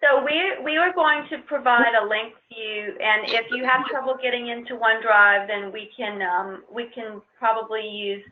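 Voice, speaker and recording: A woman presenting on an instructional conference call, explaining things informally and somewhat haltingly. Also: fairly high pitch. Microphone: conference call line. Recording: muffled narrow-band conference phone line.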